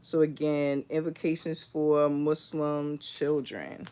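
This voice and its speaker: A middle-aged woman hosting a livestream book review, chatting in an unhurried, thoughtful way with many pauses and restarts. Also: deep voice, little pitch movement, warm tone, a little hiss or hum. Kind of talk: casual livestream book talk.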